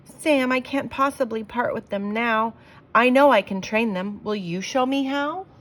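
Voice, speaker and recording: A woman's voice: on the high side, measured pace, slight background noise, lightly acted. A woman voicing a lonely, kind-hearted lady dog owner from a storybook, speaking in an earnest, emotive way. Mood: pleading.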